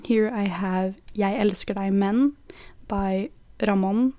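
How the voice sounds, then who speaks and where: A woman's voice: mid-range voice, fairly even intonation, slow, warm tone, no echo. A young woman from Norway with a Norwegian accent, chatting casually and listing songs with lots of "like" fillers. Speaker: vlogger. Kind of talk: casual chat.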